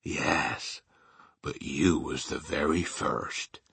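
A man voicing a huge, kindly, simple storybook giant who speaks earnestly in a slow drawl with homely, muddled grammar. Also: a bass voice, steady tone, creaky, a bit bright, slightly rough, dry recording, pitched below the performer's own voice, exaggerated voice. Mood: confident. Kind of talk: storybook character voice.

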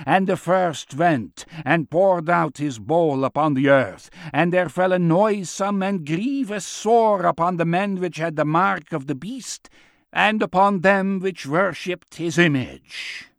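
A man voicing an old prophet who proclaims scripture in a booming, righteous, defiant voice. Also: lively intonation, creaky, no room sound, clean studio sound. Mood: thunderous, solemn. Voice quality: huge, deep, piercing, booming. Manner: slow solemn proclaiming of scripture.

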